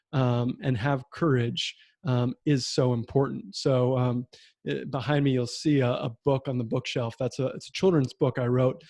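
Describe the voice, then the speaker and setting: Mellow-voiced man telling his story. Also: mid-range voice, no echo. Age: 30s. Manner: reflective, storytelling with many fillers. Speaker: podcast host. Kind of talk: conversational interview. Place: home room with bookshelf.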